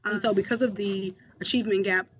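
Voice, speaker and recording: High-voiced mentor talking loosely. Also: male voice, steady tone, quick pace, a bit bright, lo-fi sound, a little hiss or hum. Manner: conversational, many fillers and restarts. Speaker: school mentor. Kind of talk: interview answers.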